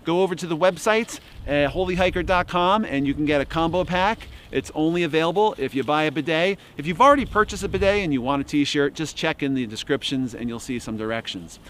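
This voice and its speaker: Man, 50s, slightly high voice, dry mock-salesman humor, deadpan comic pitch, outdoor woods. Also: a little fast, hardly any pauses, a little hiss or hum. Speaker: outdoor gear vlogger. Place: outdoors in bare winter woods.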